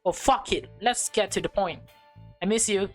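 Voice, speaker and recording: A woman voicing an anxious, exasperated high school boy in a visual novel, talking in a casual, hesitant, slangy way. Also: normal speed, soft background music, close to an everyday voice.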